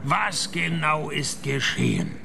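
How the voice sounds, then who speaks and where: An actor playing a wry, laconic private detective who narrates his case deadpan in a radio crime comedy. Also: a little high, takes it slowly, creaky, background noise, full character voice. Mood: terse, unimpressed.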